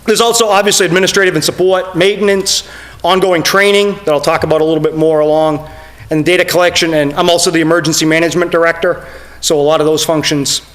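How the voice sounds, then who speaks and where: A middle-aged man with a slightly higher voice gives a budget presentation to a town committee. He explains things steadily and plainly, filling pauses with "uh". His voice is wearing thin and going hoarse. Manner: steady explanatory, many "uh" fillers. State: losing his voice. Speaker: fire chief presenting budget. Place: town meeting room.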